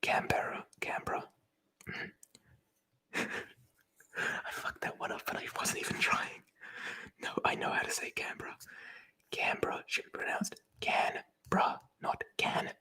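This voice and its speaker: Whispered, very breathy, bright sibilant edge, ASMR reading, informal chatty presenter. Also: slow pace, pauses often. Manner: chatty, informal, swears casually. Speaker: presenter reading aloud from a tabletop RPG book.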